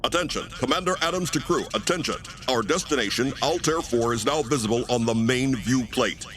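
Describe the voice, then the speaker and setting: An actor playing a stern, authoritative spaceship commander giving clipped, formal orders in an old-time radio sci-fi play. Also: male voice, medium pitch, a little fast, noisy background, close to an everyday voice. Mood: official.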